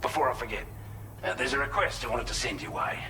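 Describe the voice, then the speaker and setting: A forceful, bright-edged Australian man, briefing a courier in a video game. He is plain-spoken and earnest, talking conversationally at an ordinary male pitch. Faint background ambience sits under the voice. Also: expressive, fast talker, slight background noise. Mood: matter-of-fact, cautionary. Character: matter-of-fact male shelter resident, allied quartermaster briefing a courier. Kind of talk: video game cutscene dialogue, mission briefing.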